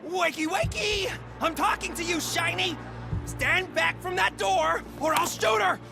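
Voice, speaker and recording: An actor playing a taunting, menacing gang thug in a video game, jeering with a gravelly voice. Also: male voice, unhurried, over music, full character voice. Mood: unhinged threatening. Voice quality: high, gravelly voice. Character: manic, taunting male gang thug, cornered hostage-taker.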